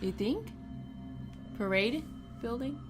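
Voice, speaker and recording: A woman's voice: fairly high pitch, lively intonation, slow, music in the background. A woman co-hosting a podcast in casual chat, laughing often and tripping over names as she reads an announcement aloud. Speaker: podcast co-host.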